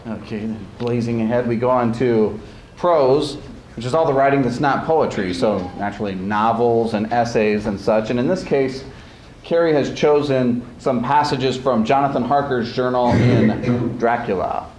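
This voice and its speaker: A man's voice: medium pitch, moderate pace, darkish tone. A man introducing performers informally as the host of a school speech event. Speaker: speech coach hosting the showcase. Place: live school event in a room. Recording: roomy live sound, faint noise.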